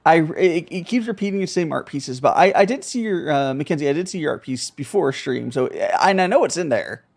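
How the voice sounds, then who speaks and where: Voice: mid-range voice, expressive, brisk, vocal fry, darkish tone. A live streamer chatting casually while playing a video game, playfully echoing the dialogue. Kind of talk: casual gameplay livestream chat. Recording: game music behind the voice. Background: video game music and dialogue.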